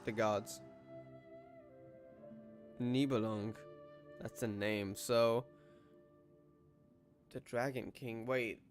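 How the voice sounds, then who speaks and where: A man's voice: medium pitch, steady tone, drawn-out words, long pauses, faint music behind. A man reacting to a game story, musing aloud and asking himself questions about it. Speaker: game streamer. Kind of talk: casual game reaction commentary.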